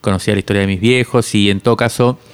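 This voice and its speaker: A man in his forties from Cuba, speaking reflectively as an interviewed author, often halting as he searches for words. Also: on the low side, fairly even intonation, clean tone.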